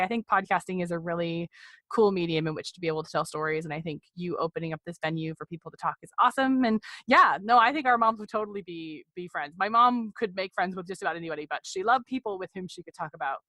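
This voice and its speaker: A woman's voice: medium pitch, sharp tone, no room sound. A middle-aged woman chatting casually as a guest in a podcast interview.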